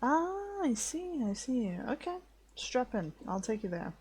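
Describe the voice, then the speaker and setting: A woman playing a chatty, curious rideshare driver in an improvised ASMR roleplay, talking hesitantly with many pauses and repeats. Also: a little high, slightly rough. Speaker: audio roleplay performer. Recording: noisy, with steady vehicle ambience.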